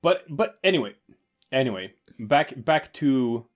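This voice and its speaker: A man's voice: mid-range voice, expressive, measured pace. Guy rambling through a film commentary with his friends, lots of uh and um. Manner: rambling, many fillers and restarts. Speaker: podcast co-host. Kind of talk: casual movie commentary chat.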